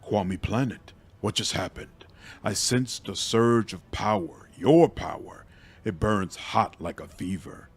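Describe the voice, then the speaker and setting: Fantasy game mentor, male and otherworldly, acted by a man: low husky voice, measured, formal, calculating. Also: full character voice. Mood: concerned.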